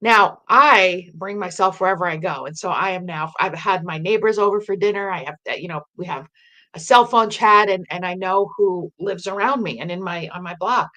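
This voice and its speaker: A woman raised in New Jersey, talking in an animated, emphatic way in casual conversation. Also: on the low side, moderate pace.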